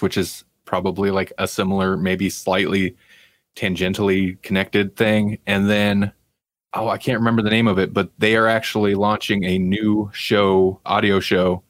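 A man's voice: deep voice, no echo. A man co-hosting a podcast, chatting casually and in a rambling way about television. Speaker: podcast co-host. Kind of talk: casual review chat about TV.

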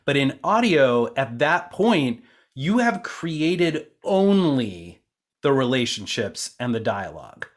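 A man's voice: medium pitch, big pitch swings, slow pace. A man interviewing in a conversational way, talking reflectively and explaining things at length. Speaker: interviewer and co-producer, theater actor.

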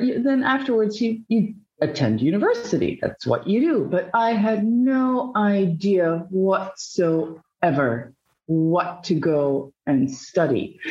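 A woman from Belgium with a Dutch accent, a journalist giving animated, emphatic answers as an interview guest over dull, lo-fi remote audio. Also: mid-range voice. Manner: animated, emphatic storytelling with drawn-out phrases.

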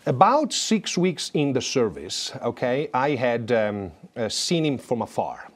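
A middle-aged man from Italy, a guest recounting stories in an interview with animated, good-humored delivery. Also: mid-range voice. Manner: warm-humored, animated storytelling with brisk asides. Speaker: guest, former papal guard. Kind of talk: storytelling interview.

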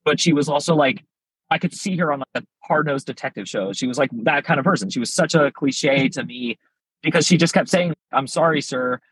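Husky-voiced man, candid podcast guest. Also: on the high side, a little fast, fairly bright tone, no room sound, poor audio. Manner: candid, rambling, frequent "like" fillers. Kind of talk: casual podcast interview.

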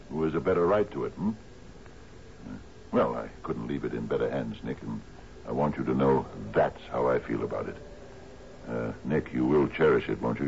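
An actor playing a doddering, sentimental old island sea captain who stammers and repeats himself, in a 1970s radio drama. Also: male voice, very deep voice, vocal fry, darkish tone. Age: older. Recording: dull, noisy old radio recording.

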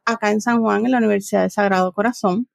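A woman's voice: medium pitch, steady tone, fast talker, vocal fry, dry recording, studio quality. A middle-aged woman with a Puerto Rican accent, answering conversationally as an interview guest. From Puerto Rico. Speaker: interview guest, business owner and trainer.

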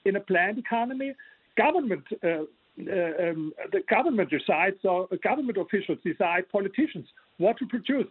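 A man's voice: high voice, expressive, normal speed, breathy edge, no room sound. German-accented man on a tinny line. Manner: earnest, explanatory, frequent restarts. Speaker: author guest. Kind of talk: remote interview.

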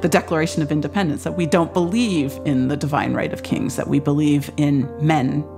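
A woman's voice: low-pitched, fairly even intonation, a little fast, vocal fry. A woman giving explanatory commentary. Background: music.

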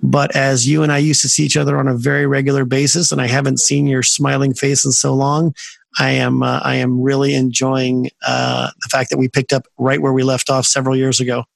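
A friendly man in his fifties hosts a podcast interview over a video call. He rambles along with plenty of fillers and restarts. His voice is gravelly, and the sound is dry and close. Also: mid-range voice, completely flat delivery, fairly quick, no room sound. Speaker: podcast host. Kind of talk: casual interview chat.

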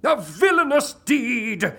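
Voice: medium pitch, very animated, slow, bright, forceful tone, exaggerated voice. An actor playing a grave, fearful stage performer who declaims every line solemnly in an audio comedy drama. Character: grave, fearful Shakespearean actor always in character.

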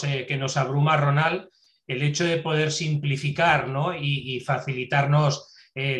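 A male podcast host with a slightly high voice. He speaks hesitantly, with lots of fillers and tag questions. His voice rings in a roomy, echoey space. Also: fairly bright tone. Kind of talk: casual podcast conversation.

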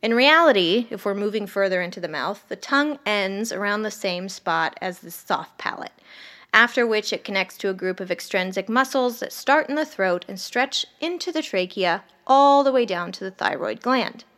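A woman playing a detached, morbidly curious ER doctor, narrating a horror audio drama in a measured, wry, clinical way. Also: medium pitch, expressive, fairly bright tone.

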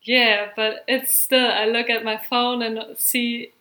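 A young woman whose first language is German talks through her answers in an interview. Her voice is bright and carries forward, and her phrasing is halting and reflective. She laughs easily between her thoughts. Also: on the high side, little pitch movement, low-quality recording. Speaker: athlete guest.

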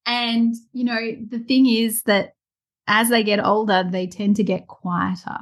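A woman's voice: a little high, level tone, unhurried. A woman, hosting a casual interview podcast in an enthusiastic, chatty way. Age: 40s. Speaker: podcast host.

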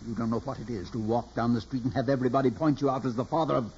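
A raspy, indignant peasant father. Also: medium pitch, fairly even intonation, rapid-fire, darkish tone. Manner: blustering, indignant. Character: angry, ashamed peasant father, harsh and proud. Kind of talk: 1940s radio drama acting. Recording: muffled old radio recording.

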